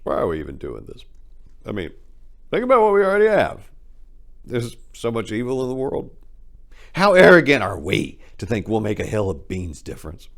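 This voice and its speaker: A man's voice: fairly low pitch, wildly swooping pitch, normal speed, vocal fry, a bit bright, voice pushed up, full character voice. A man playing a weary, doubtful, grieving older hefty crime boss who pleads and questions with emotion in an audiobook crime drama. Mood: anguished, tearful.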